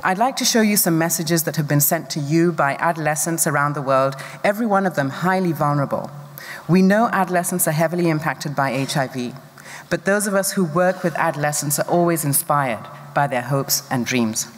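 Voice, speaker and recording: A conference presenter giving a formal, scripted talk in a measured, deliberate way. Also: mid-range voice. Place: large conference hall. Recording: long reverb of a conference hall.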